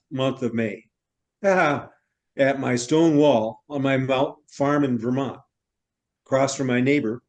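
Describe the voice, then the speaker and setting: A man's voice: mid-range voice, a little mellow, lightly acted. Man acting an effusive middle-aged novelist in stage drama. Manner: theatrical, wordy, exclaiming. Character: effusive middle-aged male novelist, newly arrived from New York. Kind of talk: stage reader's theater acting.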